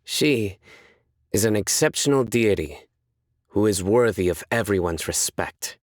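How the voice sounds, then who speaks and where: An actor playing a stern, composed young male judge in a video game, speaking in a measured, serious, deadpan way. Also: lowish voice, dry recording, clean studio sound. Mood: earnest. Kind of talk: scripted game character voice lines.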